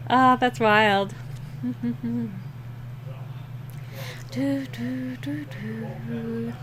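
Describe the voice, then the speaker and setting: A young woman with a slightly rough, scratchy voice, rambling and laughing at herself in a casual chat while drawing, short on sleep. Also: on the high side, fairly even intonation, drawn-out words, pauses often. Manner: rambling, giggly, self-deprecating. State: sleep-deprived. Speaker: digital artist streaming a drawing session.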